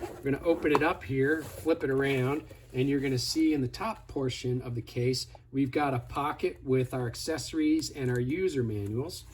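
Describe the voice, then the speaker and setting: A man's voice: medium pitch, normal speed, slight background noise. A man giving a casual, hands-on product tutorial in a conversational, step-by-step instructive way. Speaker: product demonstrator.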